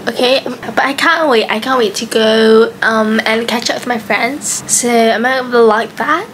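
Chatty woman vlogger, high voice. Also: unhurried, a bit bright, a little hiss or hum. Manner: chatty, mixes English and Malay, giggly. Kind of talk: casual vlog chat. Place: bedroom at home. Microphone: handheld camera close up.

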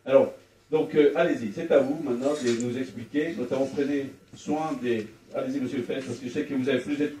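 A man in his thirties moderating a public debate off the cuff, pausing to search for words. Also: mid-range voice, fairly even intonation, warm tone. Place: small wood-walled meeting room at a public event. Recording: echoey room, distant, faint noise.

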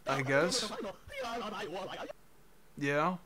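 Slow, laid-back young man streaming. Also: on the high side, a loud crowd. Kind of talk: casual livestream chat. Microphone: headset.

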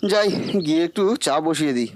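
A man's voice: a little high, lively intonation, quick pace, natural voice. A man voicing a bumbling, boastful cartoon husband who cannot cook, muttering theatrically to himself. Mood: blustering. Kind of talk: comic Bengali cartoon acting.

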